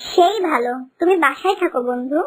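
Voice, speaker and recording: Woman as a high-pitched earnest little starling. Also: lively intonation, a little fast, a little mellow, slight background noise. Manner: earnest, cautioning. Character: small caring starling, loyal cartoon bird friend. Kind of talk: children's cartoon voice acting.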